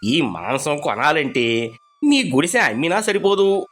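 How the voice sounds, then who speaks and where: A man voicing an arrogant rich village man in a cartoon story, taunting and shouting. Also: a little high, animated, a little slow, soft background music, pitched above the performer's own voice, full character voice. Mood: mocking.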